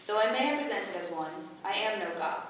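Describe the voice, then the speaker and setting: A woman's voice: mid-range voice, expressive, fairly bright tone, lightly acted. Woman as a stately mother goddess, echoing distantly. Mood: solemn. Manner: stately, measured, formal. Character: calm, motherly goddess-like first created being. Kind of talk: staged play reading. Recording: echoey room, distant mic, background noise.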